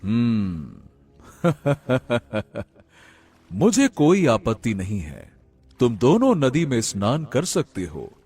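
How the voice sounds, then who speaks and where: Man playing a slow, husky, solemn river god. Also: medium pitch, huge pitch swings, darkish tone, full character voice. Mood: grave pronouncement. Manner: grave, deliberate, pronouncing. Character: handsome male river god, solemn and imposing. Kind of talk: animated fairy tale character voice.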